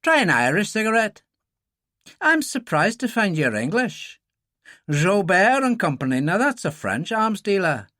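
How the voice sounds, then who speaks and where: A man's voice: sits high, big pitch swings, a little slow, sharp tone, no echo, clean studio sound, voice pushed up, exaggerated voice. A man voicing an intense, bitter young paramilitary leader in a thriller audiobook, with a Belfast accent and a wary, sardonic manner. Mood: probing.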